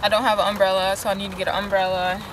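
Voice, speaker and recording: A woman's voice: mid-range voice, little pitch movement, very fast. A young woman vlogging casually from inside her parked van, chatting and rambling to viewers. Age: young adult. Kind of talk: casual vlog. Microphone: handheld phone camera. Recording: noisy van interior.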